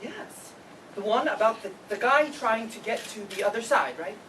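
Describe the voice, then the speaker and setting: A woman's voice: a little high, animated, normal speed, a bit bright. A woman playing an awkward, eager, earnest classmate in an amateur stage play reading, theatrical in places. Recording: distant mic, clearly noisy live room.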